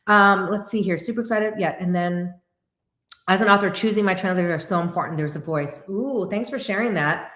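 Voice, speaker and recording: A woman's voice: mid-range voice, a little fast. Chatty Korean-American woman in an echoey room. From United States. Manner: chatty, animated, answers viewers. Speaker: host. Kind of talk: casual livestream chat.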